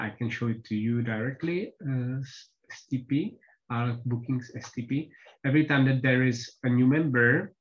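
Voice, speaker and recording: A man whose first language is Czech, presenting software updates on an online team call, pausing often with fillers. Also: medium pitch. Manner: hesitant, many filler pauses. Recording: slightly dull lo-fi call audio.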